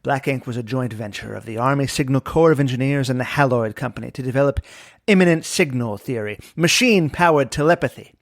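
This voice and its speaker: A man's voice: mid-range voice, brisk, creaky, no echo, clean studio sound, natural voice. An actor playing a remorseful, haunted man of science with a grave, melodramatic manner in an old-time radio detective drama. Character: haunted, remorseful male scientist who faked his death.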